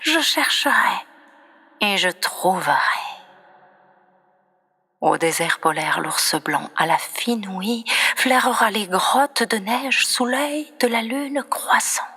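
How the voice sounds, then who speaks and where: Slow, breathy woman reciting poetry. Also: on the high side, animated, sharp tone. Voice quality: breathy, often near whisper. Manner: solemn, dramatic, deliberate phrasing. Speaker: poet reciting own poems.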